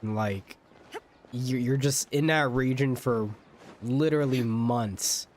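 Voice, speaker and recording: A man livestreaming a game, chatting casually and unscripted in a rambling, halting way. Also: medium pitch, slight background noise. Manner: rambling, repeats words haltingly. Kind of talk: casual unscripted gameplay chat.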